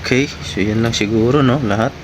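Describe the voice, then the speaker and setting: A man's voice: mid-range voice, a little fast. A man giving a casual product review to viewers in short, informal phrases. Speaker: vlogger reviewing gear. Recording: clearly noisy, steady background hum.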